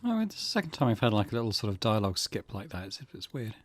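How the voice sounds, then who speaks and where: A man's voice: lowish voice, very animated, quick pace. Man doing musing let's play commentary. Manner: casual, musing, hesitant. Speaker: gaming channel host. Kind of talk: let's play commentary, reading game text aloud.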